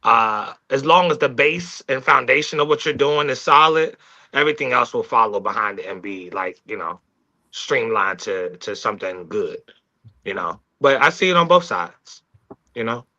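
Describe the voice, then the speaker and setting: Bright, forceful man, halting and reflective. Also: medium pitch, animated, dull audio. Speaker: talk show team member. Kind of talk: casual live show discussion.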